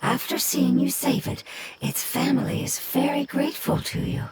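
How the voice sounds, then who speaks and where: A woman voicing a calm, kind young woman who explains things patiently and reassuringly in a video game. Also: high voice, normal speed, heavy background noise. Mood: kind, explanatory.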